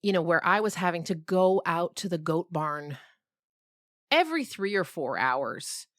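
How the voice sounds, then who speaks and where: A woman's voice: fairly low pitch, expressive, measured pace, fairly bright tone, no room sound, clean studio sound. A woman podcast creator chatting casually and informally, as if to friends. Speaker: podcast creator and host, being interviewed. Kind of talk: casual chat.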